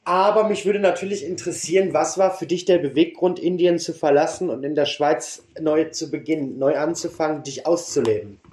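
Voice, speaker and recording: A man's voice: fairly high pitch, fairly quick, few pauses, darkish tone. A man interviewing a guest in an enthusiastic way, with many fillers. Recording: echoey room.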